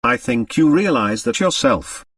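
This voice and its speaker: A man voicing a sensible, tactful woman in an audiobook, brisk, matter-of-fact and reassuring. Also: medium pitch, animated, a bit bright, husky, no echo, clean studio sound, recorded from a distance. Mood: frank. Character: sensible, robust, tactful woman calming a fellow traveler.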